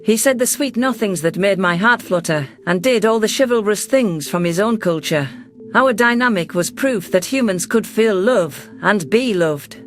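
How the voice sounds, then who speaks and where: A man reading first-person science fiction narration in a steady, measured, scripted audiobook style. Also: sits high, soft background music.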